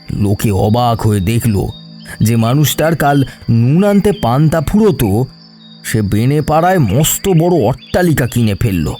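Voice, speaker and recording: A man playing a middle-aged village gentleman in a horror audio story, grave and fatherly, speaking slowly with pauses. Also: medium pitch, lightly acted. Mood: grave, knowing. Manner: slow, grave, pausing. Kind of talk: dramatic Bengali horror audio story acting.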